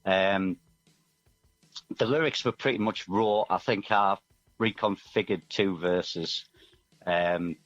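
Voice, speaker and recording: A man's voice: low voice, slow pace, pauses often, dull audio. A middle-aged man chatting casually and reflectively as a musician guest in a radio interview. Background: music playing underneath.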